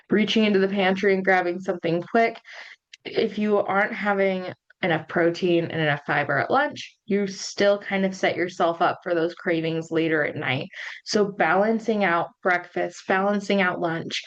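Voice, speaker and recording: A woman in her thirties, a little hoarse from losing her voice, chatting casually as a podcast host and nutrition coach. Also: mid-range voice, dull audio. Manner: chatty, conversational, frequent ums and likes. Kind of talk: casual solo podcast talk.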